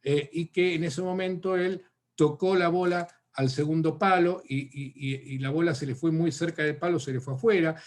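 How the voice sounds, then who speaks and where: An Argentine man answers a seminar question over a video call. His voice sits a little high, and he talks in a thoughtful way, pausing on fillers and restarting phrases. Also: fairly even intonation. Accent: Argentine Spanish. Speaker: academic speaker.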